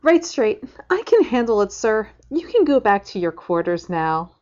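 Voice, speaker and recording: A keen junior space crewwoman, played by a woman, with a fairly high voice and a brisk, deferential manner. The sci-fi audio drama recording is a little dull. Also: big pitch swings, darkish tone. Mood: eager, dutiful. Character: eager female junior starship crewman engineer.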